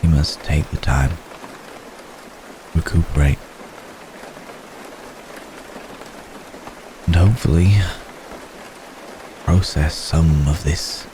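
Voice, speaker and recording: An ancient vampire gentleman, played by a man, speaks in a very deep voice at an unhurried, lingering pace. He is gentle, protective and formal, pausing hesitantly as in an intimate ASMR roleplay. Steady rain is clearly heard behind him. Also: noisy background. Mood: tender, reassuring. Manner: hesitant, measured, formal phrasing with long pauses. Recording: close recording with steady rain ambience.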